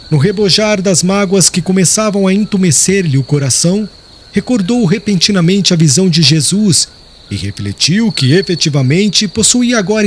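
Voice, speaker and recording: A man's voice: a little high, a little hiss or hum. A man narrating a literary audiobook in a solemn, dramatic storytelling style.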